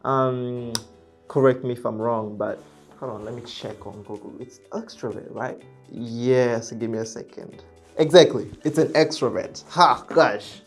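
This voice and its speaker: A man speaking English as a second language, talking to camera in a casual vlog. His voice sits at an ordinary middle pitch, and his speech is halting, full of fillers and restarted phrases. He comes across as reserved and soft-spoken, with faint music playing underneath. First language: not English. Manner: halting, many fillers and self-corrections. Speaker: real estate agent and vlogger.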